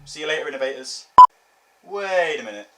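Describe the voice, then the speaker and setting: A man's voice: on the high side, animated, fairly quick. A British man in his early twenties giving a chatty opinion vlog in a wry, mock-dramatic, self-deprecating way. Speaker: vlogger and radio presenter. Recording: roomy, distant mic with music behind.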